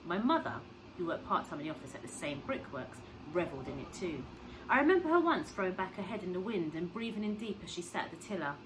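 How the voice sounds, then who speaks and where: A woman with a low voice reads a children's story aloud like a teacher. She is expressive and puts simple questions and explanations to young listeners. There is clear noise on the recording. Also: quick pace. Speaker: teacher reading to pupils.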